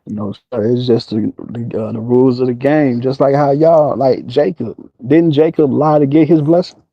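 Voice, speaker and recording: A man's voice: medium pitch, normal speed, dry recording. An American man calling into a casual debate, needling his opponent with challenging questions over a lo-fi online call.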